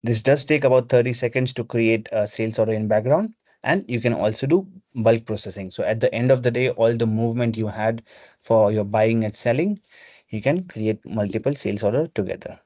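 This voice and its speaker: A man presenting a webinar, explaining software step by step in an instructional way over a video call. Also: medium pitch, a little fast, dry recording. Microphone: video call microphone. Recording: dull, lo-fi video call audio.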